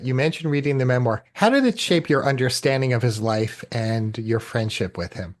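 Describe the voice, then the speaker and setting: A man hosting a podcast, asking his guest measured, prepared questions. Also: mid-range voice, animated. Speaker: podcast host. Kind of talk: interview questions.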